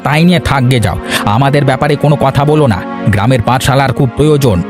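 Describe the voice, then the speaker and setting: Man acting a quick-talking spiteful village henchman. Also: mid-range voice, lightly acted. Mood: scornful. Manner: sneering, aggressive. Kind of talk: Bengali cartoon voice acting. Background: music.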